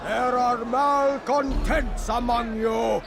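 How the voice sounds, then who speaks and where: An actor playing a sadistic, grandiose regent in a video game, speaking in a declamatory, self-righteous way. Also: male voice, very high voice, fairly even intonation, slow pace, vocal fry, full character voice. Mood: thunderous, righteous. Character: sadistic, grandiose male tyrant regent preaching righteous judgment. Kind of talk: video game villain acting. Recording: loud music and crowd behind.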